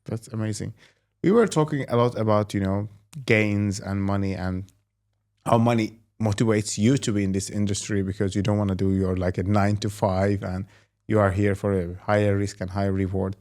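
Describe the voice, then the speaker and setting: A man's voice: on the low side, fairly even intonation, normal speed, a little mellow. A man hosting an interview, asking long, rambling questions full of "you know" and "like". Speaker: host and company executive. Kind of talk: interview host.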